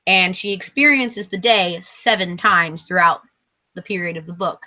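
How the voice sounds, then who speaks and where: Dull lo-fi stream, woman, bright tense tone, tired fading voice, casual book chat, hesitant, self-correcting. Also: lowish voice, moderate pace. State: losing her voice, tired. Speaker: book vlogger co-hosting a live show.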